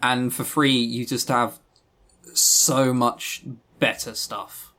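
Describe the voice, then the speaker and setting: A man's voice: medium pitch, level tone, slow pace, bright, forceful tone. A man with a mid-range voice co-hosts a casual review podcast. He speaks bluntly, with a dry, joking edge and mild exasperation. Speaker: podcast co-host. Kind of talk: casual review chat about visual novels.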